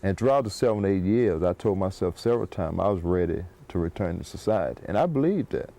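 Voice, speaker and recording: A man's voice: deep voice, expressive, fairly quick, warm tone. A man giving reflective personal testimony, hesitating and repeating words as he goes. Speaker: former prisoner giving testimony.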